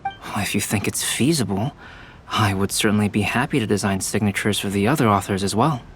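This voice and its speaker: A man playing a calm young artist in a video game, speaking thoughtfully and precisely. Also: on the low side, a little fast, slight background noise, close to an everyday voice. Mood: calm, analytical. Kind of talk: scripted game dialogue.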